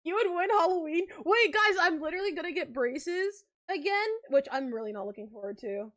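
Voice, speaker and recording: A woman's voice: extremely high pitch, expressive, fairly quick, a bit bright. A young woman streaming live, chatting casually and rambling with lots of filler words and frequent laughs.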